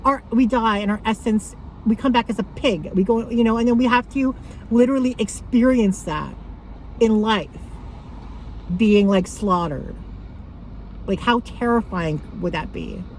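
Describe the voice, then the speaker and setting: A woman talking casually to camera about her thrift finds, rambling with lots of fillers and a loud voice. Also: fairly high pitch, measured pace, a little hiss or hum, rough recording. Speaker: thrift haul vlogger.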